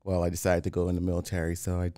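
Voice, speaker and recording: A man from Haiti, a real estate developer talking as a podcast guest in a calm, plain-spoken way, often restarting. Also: sits low, racing, no room sound, studio quality. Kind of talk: casual interview.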